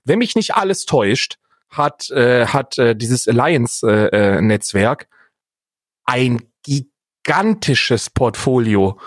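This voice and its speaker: Medium-pitched man, close dry sound. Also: animated, takes it slowly, dry recording. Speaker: podcast co-host and streamer. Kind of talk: casual podcast discussion.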